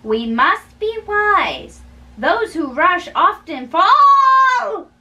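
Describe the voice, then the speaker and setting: A woman's voice: very high voice, sing-song, bright, forceful tone, lo-fi sound, slight background noise, voice pushed up, heavily acted. A young woman playing an exasperated, wise older holy man who scolds emphatically in a dramatic play reading. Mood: admonishing. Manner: emphatic, drawn-out admonishing. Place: small bedroom, indoors. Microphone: camera a few feet away. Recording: distant mic in a bedroom.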